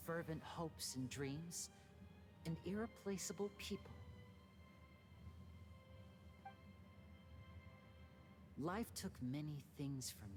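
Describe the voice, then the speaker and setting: A woman voicing a composed young male stage magician in a video game, with a measured, theatrical, quietly cutting delivery. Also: sits low. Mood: grave, probing. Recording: music clearly behind the voice.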